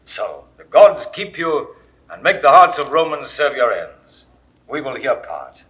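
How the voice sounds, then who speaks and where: An actor playing a passionate Roman general in a 1960s radio play, declaiming grandly. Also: male voice, medium pitch, big pitch swings, a little slow. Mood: ardent. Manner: declamatory, forceful.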